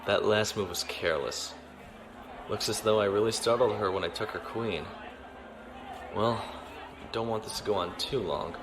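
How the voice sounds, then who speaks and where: An actor playing a self-assured naval officer, giving a dry, cool inner monologue. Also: male voice, lowish voice, normal speed, long pauses, crowd noise, background noise, natural voice. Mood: amused. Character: cool, cocky male naval officer in disguise. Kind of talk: audio drama acting.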